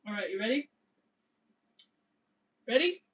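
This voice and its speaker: A woman's voice: a little high, big pitch swings, a little slow, a bit bright. Woman, casual livestream co-host, playful, chatty, reads scripture aloud. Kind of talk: casual chat and Bible reading aloud.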